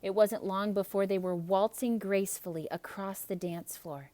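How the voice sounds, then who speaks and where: A woman reading a children's story aloud in an expressive, theatrical narrating style. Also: medium pitch, normal speed. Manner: expressive, dramatic.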